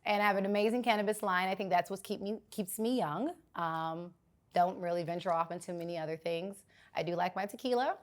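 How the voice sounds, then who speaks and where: A raspy-voiced woman in her forties from Los Angeles, a guest in a casual interview who gets animated and jumps high when she protests. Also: a little fast. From South Central Los Angeles.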